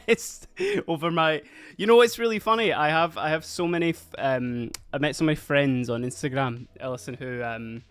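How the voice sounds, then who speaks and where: A man's voice: a little high, normal speed, a bit bright, clear, resonant tone, no echo. A man with a Scottish accent, chatting casually and rambling on a livestream, laughing often while doing other things as he talks. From Scotland (Dundee). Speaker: streamer. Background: faint background music.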